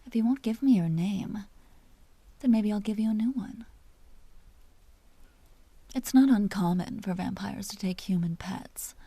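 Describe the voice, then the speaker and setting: A woman playing a commanding, teasing vampire duchess with a tender side, speaking softly and often in whispers in an intimate audio roleplay. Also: medium pitch, a little slow, pauses often, no room sound. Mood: coaxing, teasing. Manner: soft, breathy, often dropping into whispers; teasing, possessive, imperious.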